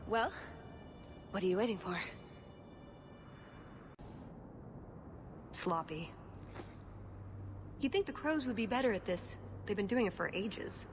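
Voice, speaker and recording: Actress doing a cool teasing elf thief lady for a game, medium pitch, clear background noise. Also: animated, moderate pace, pauses often, close to an everyday voice. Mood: cocky, teasing. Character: confident, wry elven assassin-thief woman recruiting an accomplice. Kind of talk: video game cutscene acting.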